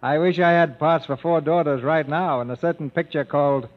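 Genial 1930s radio host. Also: male voice, a little high, steady tone, brisk, a little hiss or hum. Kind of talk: scripted radio banter. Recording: muffled old radio broadcast in a hall.

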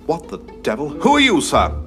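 An actor playing a brisk, loyal British military brigadier in an audio drama, with clipped, old-fashioned officer's bluster and a British accent. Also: male voice, medium pitch, big pitch swings, over music, close to an everyday voice. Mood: startled, exasperated. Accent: British English.

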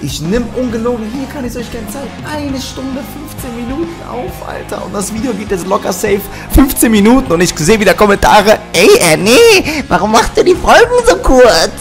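A man's voice: very high voice, very animated, normal speed, hardly any pauses. A man in his twenties ranting and cursing as he plays and comments for his viewers, recorded at home on a studio microphone. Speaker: gaming YouTuber. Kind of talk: angry let's-play commentary. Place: small room at home. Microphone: studio mic on arm with headphones. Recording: game music loud behind voice.

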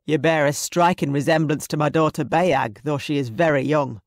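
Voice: medium pitch, steady tone, normal speed, dry recording, lightly acted. A commanding middle-aged clan warrior acted out by a narrator, imperious and threatening. His archaic phrasing suits a historical storybook villain, delivered firmly in a dry, close recording. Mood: suspicious. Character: menacing Highland warrior chief, commanding middle-aged man.

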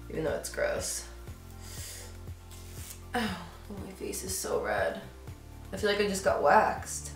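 A woman's voice: lowish voice, vocal fry. Young woman beauty vlogger, stuffy with a cold, chatty, roomy room with music behind. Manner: chatty, lots of "like", giggly. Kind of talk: casual chatty vlog. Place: bedroom with decorated shelves.

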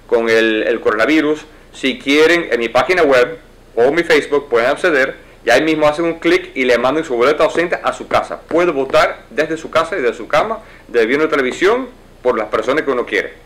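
A man in his forties answers interview questions from behind his office desk. He speaks in a middle-pitched voice, fluently and in an explanatory way, with quick asides. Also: a bit bright. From United States. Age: 40s. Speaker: lawyer and judicial candidate. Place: wood-paneled office, indoors.

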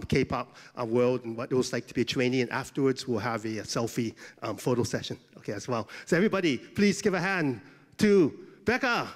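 Animated male professor in a reverberant theater. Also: fairly high pitch. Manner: animated, keeps checking in with listeners. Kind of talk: lecture to an audience. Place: large theater stage. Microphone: handheld microphone. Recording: long hall reverb from a theater.

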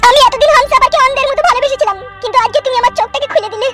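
Very high female cartoon character, plaintive, very fast, loud music. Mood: tearful, pleading. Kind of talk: comedy cartoon dialogue.

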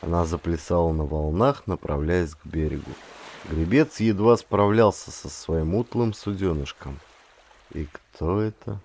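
Low-voiced man narrating dramatic adventure. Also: measured pace, creaky, a little mellow, a little hiss or hum. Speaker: audiobook narrator, actor.

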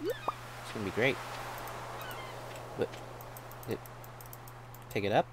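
A man's voice: mid-range voice, huge pitch swings, slow, a little hiss or hum. A man casually commenting while playing a farming game, laid-back and rambling, often calling people "man". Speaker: gameplay streamer. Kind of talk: casual let's-play commentary.

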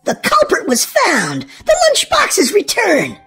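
Very high, animated children's storybook reader, woman. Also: slow, faint music behind. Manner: exaggerated, sing-song, expressive delivery for small children. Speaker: host and reader of a children's read-aloud show.

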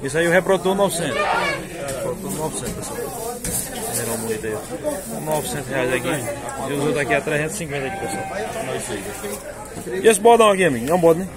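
A man's voice: fairly high pitch, big pitch swings, fast talker, long pauses. A man filming a walking vlog at an outdoor livestock market, calling out prices to viewers. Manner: calls out prices loudly to viewers. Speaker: vlogger touring an animal market. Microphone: handheld phone camera. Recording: loud crowd and bleating animals behind.

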